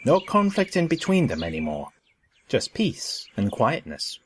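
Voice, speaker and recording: A man's voice: mid-range voice, huge pitch swings, a little slow, a little hiss or hum. A man narrating a scripted story in a storybook style.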